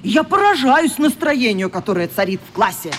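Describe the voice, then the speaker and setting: A woman's voice: a little high, big pitch swings, moderate pace, a little hiss or hum. An actress playing a strict, indignant schoolteacher in a radio play, lecturing her class in a stern, haughty manner. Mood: scolding, severe.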